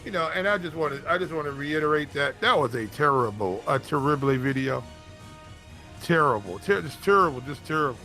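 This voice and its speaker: Animated, bright-voiced man bantering over music. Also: fairly high pitch, brisk. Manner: emphatic, repetitive, teasing. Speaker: sports show co-host. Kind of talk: casual banter.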